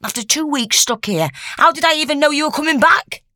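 A woman voicing a calm, brisk and eccentric male time-traveling doctor. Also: high-pitched, no room sound, clean studio sound, pitched above the performer's own voice.

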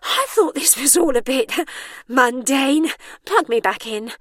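A woman's voice: high voice, slow, no echo, clean studio sound, pitched above the performer's own voice. A woman voicing a vain, entitled singing starlet in an audiobook, dazed and petulant, with an accusing, aggrieved manner. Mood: irritable. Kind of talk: audiobook character voice.

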